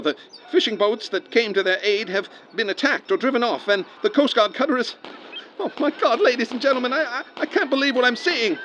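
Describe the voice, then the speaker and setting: A man's voice: very high-pitched, fairly bright tone, a little hiss or hum. A man playing an eyewitness radio reporter in an old-time disaster broadcast drama, emotional and prone to stammering. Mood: grave. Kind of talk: 1930s radio live disaster commentary, acted.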